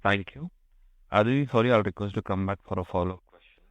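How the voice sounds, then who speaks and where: Male call moderator, quick and lowish, scripted announcements, dry sound. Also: dry recording.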